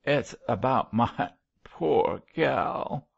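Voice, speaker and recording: A gruff condemned criminal from a storybook, acted by a male narrator, with a rough rustic working-class English accent. He growls in a husky, gravelly voice, coarse but full of feeling for his child. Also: fairly low pitch, very animated, normal speed, highs cut off, heavily acted. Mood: pleading.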